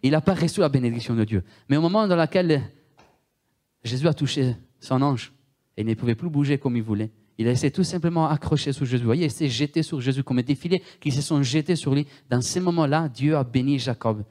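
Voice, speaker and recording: A man's voice: medium pitch, brisk, a little mellow. A man preaching a sermon in French with a strong Italian accent, Italian being his first language, emphatic and often repeating himself and asking the listeners questions.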